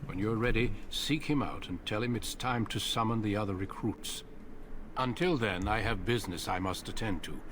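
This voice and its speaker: An actor playing a grave, secretive warrior commander. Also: male voice, on the low side, a bit bright, slight background noise, lightly acted. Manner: measured and solemn. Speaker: veteran Grey Warden commander. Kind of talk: video game voice acting.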